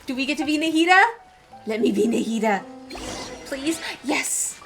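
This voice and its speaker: Chatty gamer lady streaming live, high voice, excited about the game, music behind her. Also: normal speed, vocal fry. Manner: chatty, enthusiastic, addresses viewers. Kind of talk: casual live game commentary.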